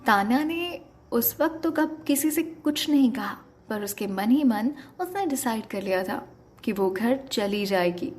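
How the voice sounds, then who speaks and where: A woman's voice: a little high, lively intonation, measured pace, soft background music. A woman narrating an emotional audio story as a storyteller. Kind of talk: dramatic story narration with acted dialogue.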